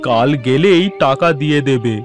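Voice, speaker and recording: A man's voice: medium pitch, normal speed, soft background music, natural voice. A man playing a landlord's wheedling, haggling village errand runner in a Bengali cartoon. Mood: haggling, protesting. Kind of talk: Bengali moral cartoon voice acting.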